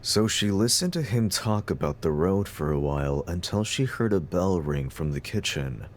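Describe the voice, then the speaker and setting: A man's voice: low-pitched, lively intonation, moderate pace. A man narrating a scripted story as the narrator of an audio drama.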